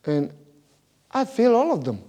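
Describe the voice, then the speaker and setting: Animated pastor with a non-native English accent. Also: male voice, extremely high pitch, normal speed. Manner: animated, laughing storyteller, big swings in loudness. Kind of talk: sermon.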